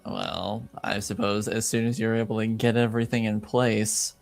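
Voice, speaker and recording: A man improvises his part in a tabletop roleplaying game. His voice sits fairly low, and he answers briefly in a casual, understated way. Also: flat delivery, normal speed, vocal fry, soft background music.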